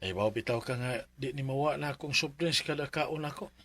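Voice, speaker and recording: A man's voice: medium pitch, a little fast, a bit bright. An actor with a Filipino Bisaya accent playing a hard-luck, plaintive young man in a radio drama. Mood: stubborn. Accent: Filipino, Cebuano Bisaya.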